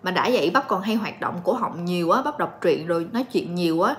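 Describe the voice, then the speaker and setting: A woman in her late twenties chatting calmly and directly to viewers in a casual home vlog. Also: on the low side, brisk. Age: late 20s. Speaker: vlogger and story reader. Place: living room at home.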